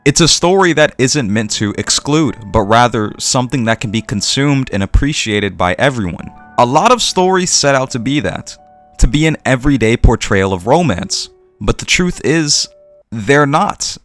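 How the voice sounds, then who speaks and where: A man's voice: medium pitch, lively intonation, normal speed, a bit bright, faint music behind. A man narrating a scripted opinion video essay as a channel host, with earnest, emphatic phrasing.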